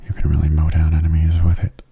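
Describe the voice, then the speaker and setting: A man giving soft-spoken ASMR commentary over a game in a hushed, breathy murmur, calm and unhurried, close to the microphone. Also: very deep voice, dull audio. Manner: calm, unhurried, musing aloud. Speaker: gaming ASMR host.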